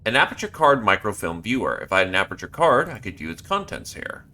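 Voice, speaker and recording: A man streaming a horror game live, reading on-screen text aloud and breaking into loud, excited outbursts. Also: on the low side, fast talker. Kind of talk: live gameplay commentary.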